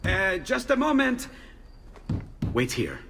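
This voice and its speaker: A man acting an excitable, friendly Renaissance inventor with an Italian accent in an expressive video game cutscene. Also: high voice, slow pace, vocal fry, heavily acted. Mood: nervous, polite. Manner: expressive, exclaiming, playful. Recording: long reverb, loud music behind.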